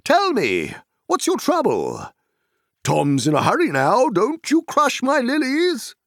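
A man's voice: very high-pitched, wildly swooping pitch, slow, fairly bright tone, no echo, studio quality, voice pushed up, exaggerated voice. A man voicing a boisterous, jolly old forest dweller who sings and bellows in a rustic sing-song dialect. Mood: booming jolly. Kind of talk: audiobook character voice.

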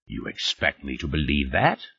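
A man playing a sarcastic old man with swooping pitch. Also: wildly swooping pitch, moderate pace, vocal fry, slightly rough, highs cut off, exaggerated voice. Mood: irritated sarcasm. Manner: ironic, taunting, rhetorical questions, formal unidiomatic phrasing. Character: sarcastic, irritable old man, needling and theatrical.

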